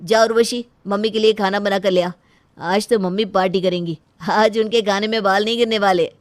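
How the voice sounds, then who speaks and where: A woman's voice: medium pitch, fairly even intonation, a little fast, dry recording, faint music behind, natural voice. A woman voicing a dry-sounding, coaxing son. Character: reasonable adult son and husband.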